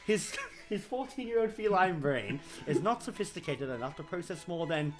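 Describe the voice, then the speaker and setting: A man's voice: fairly high pitch, expressive, moderate pace, over music. A man narrating a comedic story reading in a theatrical, mock-dramatic manner. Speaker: fan fiction reader.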